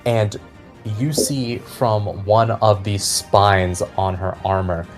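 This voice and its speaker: A man narrating a fantasy tabletop game as game master, describing scenes deliberately and with suspense. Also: lowish voice, steady tone. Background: background music.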